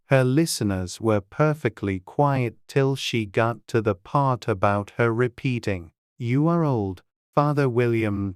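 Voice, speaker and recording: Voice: medium pitch, smooth, dry recording. Dry, close storybook narrator. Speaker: audiobook narrator.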